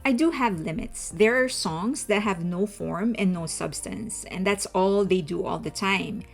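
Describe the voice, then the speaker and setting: Slow-talking woman with a gravelly voice. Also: medium pitch. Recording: slightly muffled, faint background music.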